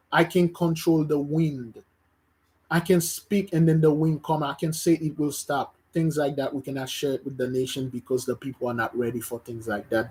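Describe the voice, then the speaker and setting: A man's voice: fairly high pitch, a little mellow, rough recording. A man from Haiti, preaching informally online to his brethren, emphatic and repeating himself, often stumbling and restarting. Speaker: religious teacher.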